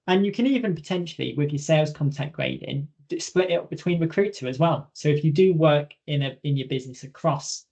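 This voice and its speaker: A man's voice: fairly high pitch, fairly quick, vocal fry, hardly any pauses, a little mellow, dull audio. A man presenting a training webinar in an informal, direct, conversational way, emphasising points through repetition.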